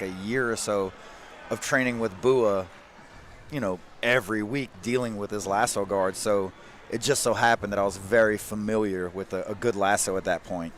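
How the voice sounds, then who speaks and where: A man in his late thirties talking in a relaxed, modest way as a guest commentator beside the mat. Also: fairly low pitch, slight background noise. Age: 30s. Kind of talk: casual mat-side commentary. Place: grappling tournament mat-side.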